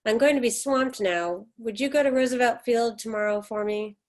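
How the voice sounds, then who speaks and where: A woman's voice: fairly high pitch, normal speed, darkish tone, natural voice. A woman playing a stoic, controlling middle-aged American mother in a play reading, speaking in a firm, insistent way. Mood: brisk, controlled. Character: stoic, controlling 48-year-old American mother, curt and short-tempered.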